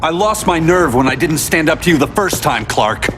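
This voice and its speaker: An actor playing a defiant young speedster hero in video game cutscenes, speaking in an earnest, defiant way. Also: male voice, fairly high pitch, animated, normal speed, noisy background, lightly acted. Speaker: game character. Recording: game cutscene with loud effects behind.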